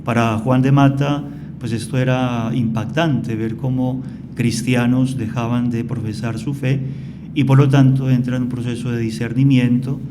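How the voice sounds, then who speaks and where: A man from Colombia, a priest guest on a radio interview, explaining at length in a calm, storytelling way. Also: medium pitch, moderate pace, noisy background.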